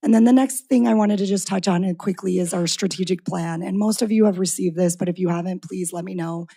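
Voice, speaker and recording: A woman with a raspy voice presenting a tourism organization's plans to a city council from a podium microphone. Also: fairly low pitch, warm tone, no room sound, studio quality. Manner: brisk presenting with occasional restarts. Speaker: tourism organization executive director. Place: council chamber.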